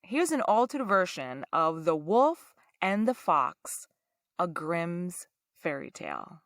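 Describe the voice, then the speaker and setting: A woman's voice: lowish voice, wildly swooping pitch, takes it slowly, fairly bright tone, dry recording, clean studio sound. A woman hosting a storytelling podcast, reading scripted narration in a true-crime style.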